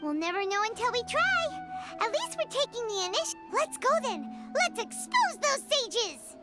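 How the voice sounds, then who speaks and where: A tiny fairy sidekick from a video game with an extremely high, childlike voice. She is chirpy and excitable, swooping wildly in pitch, with music playing behind her. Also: moderate pace. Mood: determined. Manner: chirpy, exclamatory, refers to herself in third person. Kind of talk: video game character dialogue.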